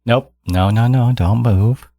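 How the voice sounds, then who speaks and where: A man playing a smug, possessive supervillain genius in an intimate audio roleplay, taunting his hero in a theatrical, teasing drawl with a gravelly, husky voice. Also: mid-range voice, takes it slowly, mellow, dry recording. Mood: teasing, menacing.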